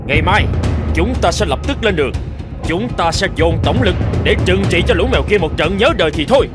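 A man's voice: high-pitched, expressive, brisk, loud music behind the voice. A man voicing a vain, boastful mouse leader in a cartoon, pompous and self-important. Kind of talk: cartoon dubbing.

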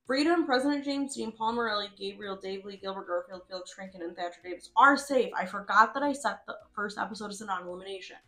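A young woman hosts a simulated competition in a casual, playful way. She talks in slang and reads out results like a pageant host, at a middling pitch. Music plays behind her voice. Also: sharp tone. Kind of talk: casual reaction commentary.

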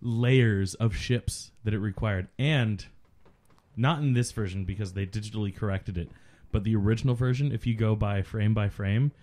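A man's voice: on the low side, normal speed, darkish tone. A man co-hosting a casual film commentary podcast, chatty and jokey, sharing effects trivia. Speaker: podcast co-host.

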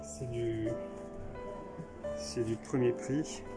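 A man's voice: medium pitch, steady tone, very slow. A man casually touring a small camper van at a trade show, thinking aloud with many fillers and restarts, filmed on a handheld camera. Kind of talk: casual walkthrough commentary. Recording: music playing behind the voice.

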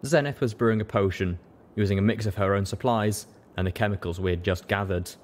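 A man's voice: lowish voice, fairly quick. A man reading scripted story narration as an audiobook narrator. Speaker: audiobook reader.

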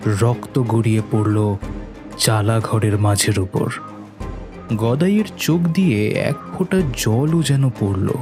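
A man narrating a scripted horror story for a cartoon in a storytelling style. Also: mid-range voice. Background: background music.

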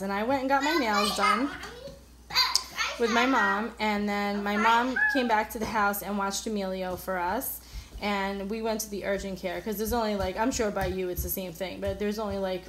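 A woman's voice: medium pitch, noisy background. American woman chatting with a slight cold. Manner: candid, rambling, acts out remembered dialogue. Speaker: vlogger, coloring channel host. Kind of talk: casual chatty personal storytelling. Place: indoors at a table, coloring on camera.

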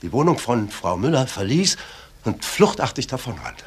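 A man's voice: mid-range voice, sing-song, normal speed, breathy edge. A man playing a dry, self-assured male witness in a German crime radio drama.

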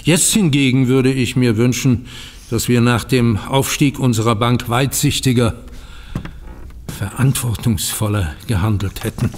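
An actor playing a bitter, dignified older bank founder in a German audio drama, speaking formally with biting sarcasm. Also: male voice, medium pitch, measured pace, long reverb tail, slight background noise, close to an everyday voice. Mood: regretful, bitter.

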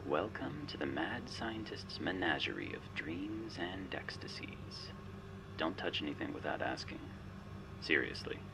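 A man's voice: low voice, expressive, a little slow, gravelly, very noisy, full character voice. A man playing a smug, menacing but playful artificial intelligence guiding a spy in an ASMR roleplay, wry, teasing and theatrical. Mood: playful, boastful. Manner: wry, teasing, theatrical, often dropping to a whisper.

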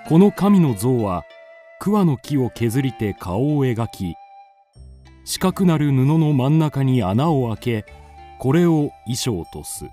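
A man tells an old folk tale in solemn, classical narration. His voice is warm and mellow, and he speaks at an unhurried pace. Soft music plays beneath him. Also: medium pitch, sing-song. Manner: slow, solemn storytelling.

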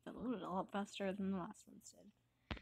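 A woman's voice: mid-range voice, steady tone, normal speed, gravelly, darkish tone, slightly rough, no echo. A woman casually reading out an amateur comic dub in a joking, sweary, informal way. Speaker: comic dub narrator and voice actor.

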